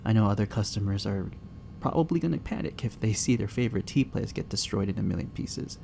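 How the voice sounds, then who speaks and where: A man's voice: on the low side, lively intonation, fast talker, creaky, background noise. A young man acting a shy, flustered barista who is secretly an agent in an ASMR roleplay drama, hesitant, stammering and apologetic. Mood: explaining, worried. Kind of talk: ASMR roleplay, acted dialogue spoken to the listener.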